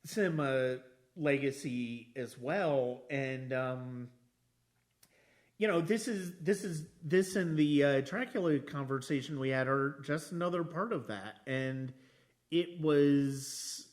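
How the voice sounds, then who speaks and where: A man with a mid-range voice hosts a casual movie podcast. He talks very slowly and haltingly, often circling back to rework a phrase as he goes. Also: medium pitch. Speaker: podcast host. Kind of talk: casual film discussion.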